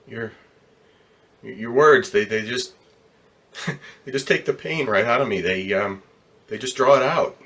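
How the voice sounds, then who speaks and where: A man's voice: mid-range voice, a little slow, pauses often, pushed and bright. A man acting in a radio drama as a troubled, weary, plain-spoken ambulance driver, stammering and repeating his words. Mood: wistful, bitter.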